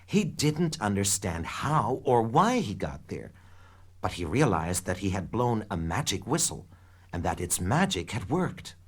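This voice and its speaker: A man narrating a scripted children's read-along story in an expressive, dramatic storytelling manner. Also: lowish voice, unhurried, husky. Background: background music.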